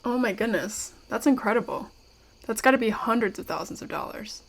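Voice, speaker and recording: An actress playing a polite, curious and wry young interviewer in an audio drama. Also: female voice, fairly high pitch, lively intonation, brisk, gravelly. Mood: intrigued, wry.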